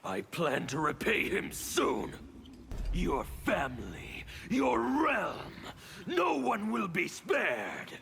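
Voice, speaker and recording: A fanatical male agent of chaos for a fighting game. His voice is high for a man and swings wildly, with slow, grandiose, defiant delivery and a gravelly edge. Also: wildly swooping pitch, pushed and bright, slight background noise, exaggerated voice. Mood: righteous anger. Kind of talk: theatrical fighting-game villain acting.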